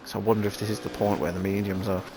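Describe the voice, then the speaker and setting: A man commentating a sim race, thinking aloud in halting restarts, his voice croaky after sneezing. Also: on the low side, level tone.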